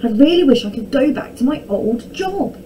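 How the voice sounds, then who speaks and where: A woman's voice: on the high side, sing-song, measured pace, dark tone, recorded from a distance, a little hiss or hum. A woman voicing a reluctant, indignant shepherd boy in a children's storybook, protesting plaintively. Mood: plaintive complaining.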